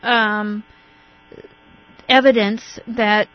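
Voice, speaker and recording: A woman's voice: a little high, level tone, very slow, lo-fi sound. A woman hosting a radio call-in, moderating politely and somewhat hesitantly in a conciliatory way. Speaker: radio host.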